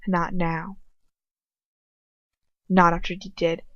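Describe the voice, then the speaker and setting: A woman's voice: fairly low pitch, flat delivery, slow, no room sound, lo-fi sound. A woman reading fan fiction aloud like an amateur audiobook, informally, stumbling and correcting herself now and then. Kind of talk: audiobook-style story reading with casual asides.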